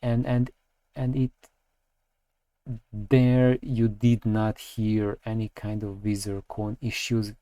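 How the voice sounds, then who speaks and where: A man giving unscripted technical opinion and advice as a channel host, speaking haltingly and often repeating words as he goes. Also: lowish voice, little pitch movement, dark tone, dry recording. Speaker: audio review channel host.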